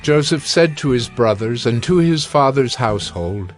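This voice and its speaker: A male narrator at a middle pitch tells the scripture story in a steady, even way. Each clause is paced carefully. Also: normal speed, soft background music.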